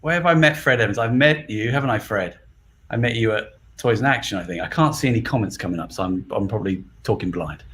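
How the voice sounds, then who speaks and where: A man's voice: fairly low pitch, quick pace, fairly bright tone, slight background noise. A middle-aged man from England chatting casually as a guest on a live stream, rambling and laughing easily.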